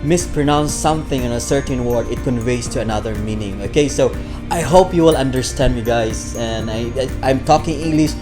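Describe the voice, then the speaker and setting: A Filipino-accented man of middle pitch hosts a food review vlog. He talks in a chatty way, repeats himself and fills pauses with fillers. Clear background music runs under his voice. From Philippines, Bohol. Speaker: food vlogger.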